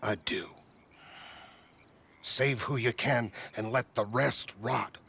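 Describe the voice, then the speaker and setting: A troubled man of the cloth in a game cinematic. He speaks at a middling pitch, feeling his way haltingly through sentences, with gentle, sentimental earnestness and a penitent air. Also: creaky, husky, heavily acted. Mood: earnest, consoling. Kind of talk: video game cinematic acting.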